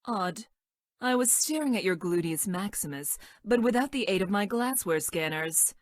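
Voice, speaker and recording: A woman voicing a stranded time-traveling scientist in a video game, clinical and detached, speaking in stilted, formal, technical language with a deadpan delivery. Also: medium pitch, normal speed, no echo, studio quality, close to an everyday voice. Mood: clinical fondness. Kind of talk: scripted video game character lines.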